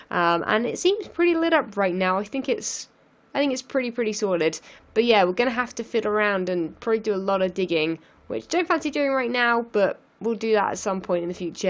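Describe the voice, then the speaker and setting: A young woman hosting a game let's play, chatting and thinking aloud as she builds, her voice a little croaky from losing it. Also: fairly high pitch. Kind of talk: casual gameplay commentary.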